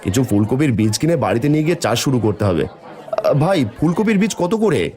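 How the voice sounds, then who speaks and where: A man's voice: mid-range voice, a little fast, some chatter behind, close to an everyday voice. A man voicing a hopeful, kindly poor farmer and family man in a cartoon. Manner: animated, warm to family. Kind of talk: cartoon voice acting. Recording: soft music behind the voice.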